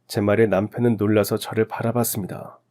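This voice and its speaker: Mellow man narrating a radio story. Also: on the low side, little pitch movement, a little fast. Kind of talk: scripted first-person narration for sentimental radio story.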